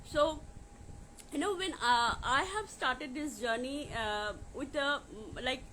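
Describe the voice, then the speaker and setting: A woman with an Indian accent talking informally to viewers as a coach on a livestream, in a halting, repetitive way with many filler phrases. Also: high-pitched, pushed and bright, a little hiss or hum. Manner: repetitive, halting with frequent "you know". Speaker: life coach hosting a live question session.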